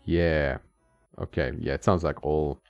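A man's voice: rumbling low, fairly even intonation, a little slow, vocal fry, dry recording, highs cut off, faint music behind. A man streaming retro games, chatting casually and thinking aloud with lots of ums and small laughs. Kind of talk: casual gameplay commentary. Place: home streaming setup. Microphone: desk mic.

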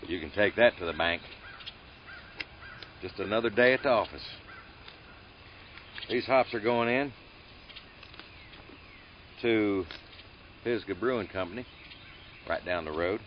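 A man's voice: on the low side, a little slow, pauses often. A middle-aged American man, a farmer, chatting casually and wryly as he works outdoors. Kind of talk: casual chat while working. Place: outdoors in a farm field. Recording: dull outdoor sound, faint nature noise.

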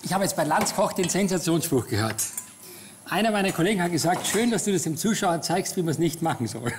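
A middle-aged man, a chef host, calmly explaining cooking steps in a TV studio kitchen. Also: on the high side, fairly quick. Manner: instructive, relaxed. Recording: studio with clear background noise.

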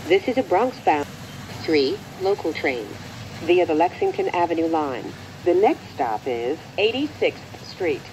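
An American woman reading scripted transit announcements in a measured, formal manner. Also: on the low side, sing-song, darkish tone, slight background noise, rough recording.

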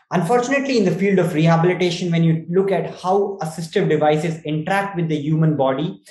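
A man in his twenties from India, with an Indian accent, explains things in a measured, articulate way over a roomy video call. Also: on the high side, a little mellow. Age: 20s. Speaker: startup CEO being interviewed. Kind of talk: explanatory interview talk. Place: small indoor room, video call.

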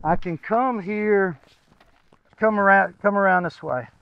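A man's voice: high-pitched, measured pace, creaky, muffled recording, a little hiss or hum. A man instructing a group in tree felling outdoors, explaining step by step and checking in with his listeners. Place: outdoors in woodland.

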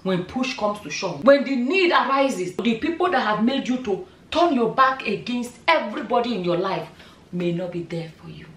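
A woman in her thirties with a Nigerian accent, giving animated opinion commentary straight to camera, full of rhetorical questions. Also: a little high, normal speed. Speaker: vlogger. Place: bright room indoors, seated in chair. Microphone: far camera. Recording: roomy echo, mic at a distance.

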